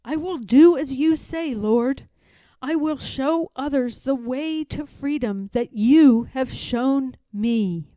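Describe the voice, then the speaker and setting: A woman's voice: sits high, slow pace, mellow, no echo, rough recording. A woman reading a storybook, voicing a humble, devout and determined enslaved woman in earnest prayer. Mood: devout, resolute. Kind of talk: storybook read-aloud.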